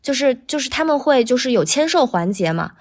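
Higher-voiced woman chatting casually. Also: big pitch swings, brisk. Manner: tentative, self-qualifying, repeats phrases. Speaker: guest voice actor. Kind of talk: casual podcast chat.

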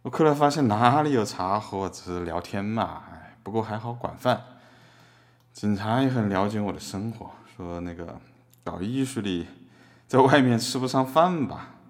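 Man as rambling drifter artist, lowish voice, deadpan, self-mocking, casual courtroom radio play. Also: moderate pace, natural voice. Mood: wry, aggrieved. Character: rambling, wry male drifter artist as defendant. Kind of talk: casual scripted monologue in audio drama.

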